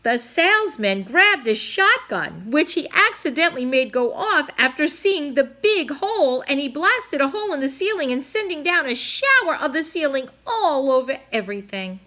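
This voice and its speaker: A woman's voice: sits high, very animated, moderate pace, few pauses, sharp tone. A woman reading a children's novel aloud expressively, dramatizing the action as a storyteller. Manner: dramatic, with emphasized sound words and brief asides. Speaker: storybook reader.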